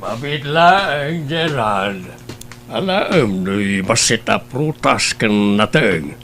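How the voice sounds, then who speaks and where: A man playing a kindly older father in an Ilocano audio drama, with a slow, drawn-out delivery. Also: medium pitch, animated, a bit bright. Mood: generous. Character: kindly older father welcoming his future son-in-law.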